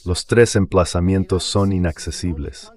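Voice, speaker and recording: A man's voice: low voice, unhurried, a little mellow. A man delivering a television news opinion monologue in an assertive, declarative manner. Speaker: TV news commentator.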